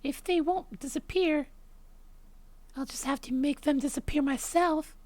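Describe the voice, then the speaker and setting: A woman voicing a small, lovesick, obsessive schoolgirl in an anime visual novel, sweet and gentle with menace. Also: sits high, measured pace, no room sound. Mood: brooding.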